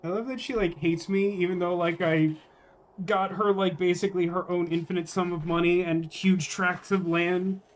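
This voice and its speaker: A man's voice: high-pitched, level tone, normal speed. A man streaming a game with casual, wry commentary, rambling as he thinks aloud.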